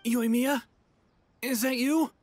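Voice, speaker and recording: A man's voice: very high-pitched, fairly even intonation, slow, a little mellow, no room sound, exaggerated voice. An actor voicing an earnest, apologetic man on the run in a video game, polite and hesitant. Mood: nervous. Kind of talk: English video game voice acting. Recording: music behind the voice.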